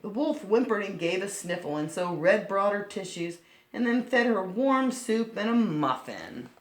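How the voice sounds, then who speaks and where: A woman's voice: mid-range voice, expressive, unhurried. A woman reading a picture book aloud to children, breaking off to explain things simply. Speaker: children's storytime reader. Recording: roomy, echoing sound.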